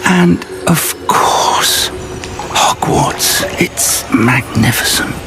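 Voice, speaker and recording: Older wizard mentor for a fantasy game: kindly, wry, slow and gravelly, lively pitch, music underneath. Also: male voice, exaggerated voice. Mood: awed. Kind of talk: video game cutscene acting.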